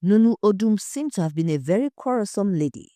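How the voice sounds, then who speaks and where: A woman's voice: mid-range voice, expressive, a little fast, mellow, dry recording, studio quality, pitched above the performer's own voice, natural voice. A woman voicing a composed, direct female investigator in an audio story. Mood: probing. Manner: direct, questioning.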